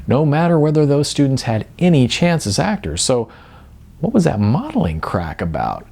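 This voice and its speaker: A man narrating a story in an expressive storytelling style. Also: on the low side, breathy edge.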